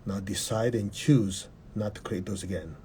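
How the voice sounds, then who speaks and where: A man leading a guided meditation in a calm, measured way, repeating short instructions and having the listener repeat affirmations. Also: on the low side, a little hiss or hum.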